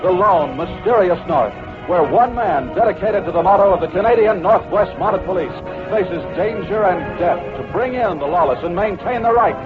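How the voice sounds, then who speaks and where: A man's voice: high voice, lively intonation, normal speed, hardly any pauses. A man narrating an old-time radio adventure as its announcer, in a dramatic, scripted style. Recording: tinny old radio recording. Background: music.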